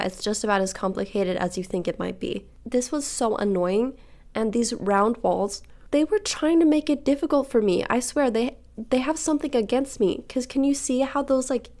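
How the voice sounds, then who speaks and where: A woman's voice: fairly high pitch, a little mellow. A nineteen-year-old woman from Sweden who grew up in Hong Kong, chatting casually and rambling over gameplay as she talks straight to her viewers. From Sweden, raised in Hong Kong. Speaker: gaming video creator.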